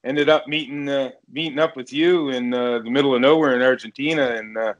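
A man's voice: mid-range voice, fairly even intonation, fairly quick, dull audio. A man of about forty, chatting casually as the host of an interview. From Canada. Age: around 40. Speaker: podcast host and fishing guide. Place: indoor room, remote video call.

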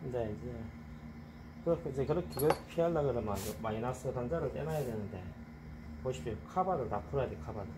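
A man's voice: mid-range voice, lively intonation, quick pace. A middle-aged man explaining farm machine repair while he works outdoors, in a blunt, colloquial way that slips into complaints. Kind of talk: casual how-to explanation while working. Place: outdoors on a gravel farmyard. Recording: outdoor ambient noise.

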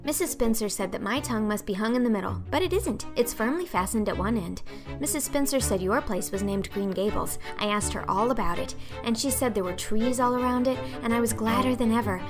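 A woman's voice: a little high, fairly quick, music in the background, close to an everyday voice. Woman as an effusive, chattering little orphan girl. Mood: eager, chatty. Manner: effusive, earnest, theatrical. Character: talkative, dramatic, dreamy little orphan girl. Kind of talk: audiobook character acting.

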